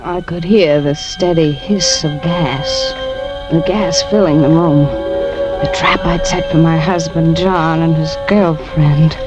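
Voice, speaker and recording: Bitter, scheming 1950s radio wife. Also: female voice, low-pitched, steady tone, slow pace, dull audio. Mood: despairing. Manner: dramatic, intense first-person narration and clipped dialogue. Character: bitter, scheming wronged wife plotting murder. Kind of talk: 1950s radio drama acting. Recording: old radio recording with music behind.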